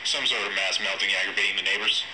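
An actor playing a matter-of-fact police dispatcher over a noisy radio in a comedy sketch. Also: male voice, low-pitched, fairly even intonation, fast, a loud crowd.

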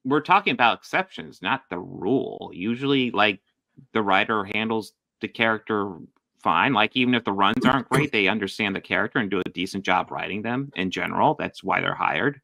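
Gravelly, bright-toned man in casual commentary. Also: fairly low pitch, fairly quick. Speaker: podcast co-host.

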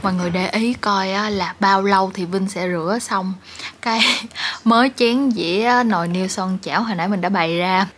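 A woman's voice: medium pitch, moderate pace. A woman in her twenties with a southern Vietnamese accent, chatting casually and playfully to viewers as a vlogger. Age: 20s. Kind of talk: casual vlog chat to viewers. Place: small apartment, kitchen and living room. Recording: clear household background noise.

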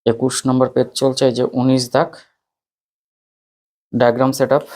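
A male teacher with a medium-pitched voice. He addresses students informally as he walks them through a paper. His delivery is repetitive, calling out one item after another. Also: level tone, normal speed, darkish tone.

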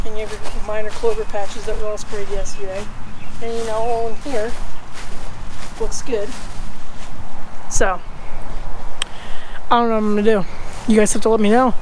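A man's voice: high voice, a little hiss or hum, poor audio. Young male lawn-care vlogger, rambling and chatty, recorded outdoors on an arm's-length action camera with faint open-air background. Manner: chatty, rambling, restarts and trails off. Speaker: lawn care channel host. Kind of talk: casual outdoor vlog narration. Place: outdoors in a grassy townhouse backyard.

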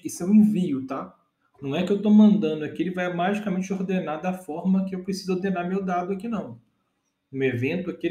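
A man's voice: high voice, warm tone, echoey room. A man in his thirties with a Brazilian Portuguese accent, teaching a casual data engineering lesson step by step and often checking that his listeners follow.

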